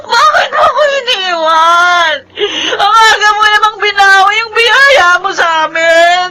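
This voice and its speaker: A woman's voice: very high voice, expressive, unhurried. A woman acting a distraught young mother in a Filipino radio drama, sobbing and pleading with a Filipino accent. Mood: anguished grief. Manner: sobbing, wailing, pleading delivery. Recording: music under the voice.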